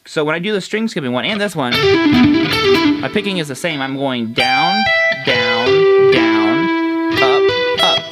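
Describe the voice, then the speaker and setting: Congested man teaching guitar licks. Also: on the high side. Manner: congested, nasal; explains while playing, counts fret numbers. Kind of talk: casual guitar lesson.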